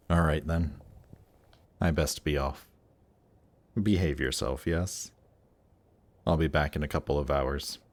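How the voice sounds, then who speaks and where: A man playing a suave demon prince in an intimate audio roleplay, speaking in a slow, seductive, gently coaxing way. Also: a bass voice, dry recording. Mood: suave, teasing. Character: suave demon prince of Hell, tempting and reassuring. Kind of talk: intimate audio roleplay, scripted monologue to listener.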